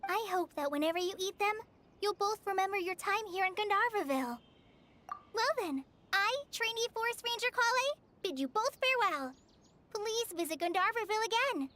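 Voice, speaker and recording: A woman's voice: very high-pitched, animated, normal speed, fairly bright tone, slight background noise. A woman voicing an earnest, shy teenage girl, a trainee forest ranger in a video game, speaking sincerely and a little hesitantly. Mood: heartfelt.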